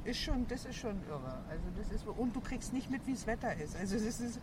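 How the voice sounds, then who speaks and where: Middle-aged woman, chatty and halting, recorded outdoors with street noise. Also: on the high side, expressive. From Berlin, Germany. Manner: chatty, halting with restarts and fillers. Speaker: interviewee, resident. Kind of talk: casual chat. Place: outdoors by a high-rise block. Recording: outdoors with loud background noise.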